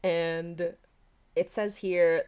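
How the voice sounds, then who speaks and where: Giggly woman hosting a livestream, lowish voice. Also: steady tone, drawn-out words, a little mellow, clean tone. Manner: giggly, exclamatory. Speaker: podcast creator and stream host. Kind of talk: casual livestream chat, reading out audience comments.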